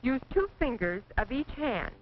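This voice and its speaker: An American woman reading scripted 1940s training film narration in a precise, measured, instructive manner. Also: high-pitched, big pitch swings. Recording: dull, noisy 1940s film soundtrack.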